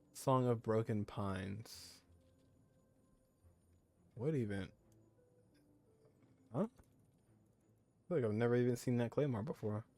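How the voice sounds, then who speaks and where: Man, slow-paced, mid-pitched, casual gaming streamer, reacting aloud, informal, music in the background. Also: a little mellow. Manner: informal, muttering to himself, exclamatory. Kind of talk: casual, reactive gameplay commentary.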